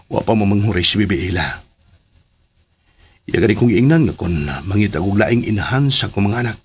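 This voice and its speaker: A man's voice: fairly low pitch, husky. A man playing a devoted, tender young husband in a radio drama, with a Cebuano Filipino accent. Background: music.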